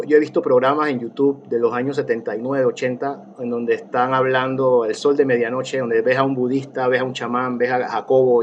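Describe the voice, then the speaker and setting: A man's voice: medium pitch, muffled recording, a little hiss or hum. Rambling man musing. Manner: rambling, many restarts and fillers, reflective. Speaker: interview guest, therapist. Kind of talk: casual conversational interview.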